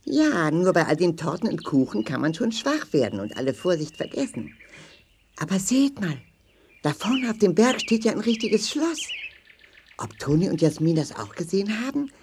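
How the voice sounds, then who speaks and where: An actor playing a friendly, sensible and polite leader of travelling companions in a children's audio play, expressive and clearly spoken. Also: mid-range voice, moderate pace. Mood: thoughtful. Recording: faint noise with birdsong.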